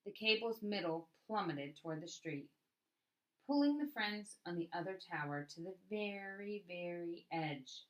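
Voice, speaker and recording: A woman reading a picture book aloud as a storyteller, with expressive narration and dramatic emphasis on key words. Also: lowish voice, unhurried, rough recording.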